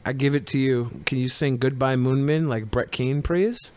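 A man's voice: mid-range voice, normal speed, a little mellow, clean tone, no room sound. A man co-hosting a podcast, bantering casually and reading out chat messages in a crude, jokey way. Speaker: podcast co-host.

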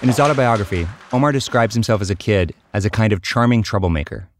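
A man hosting a podcast, giving calm, measured scripted documentary narration. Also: on the low side, noisy background.

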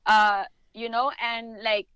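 A young woman chats casually, leaning on fillers as she thinks aloud. Her voice is smooth and sits a little high. The sound is close and dry. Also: little pitch movement, slow, fairly bright tone, dry recording, low-quality recording. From United States, Chicano family. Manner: chatty, many "like" fillers. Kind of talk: casual podcast chat.

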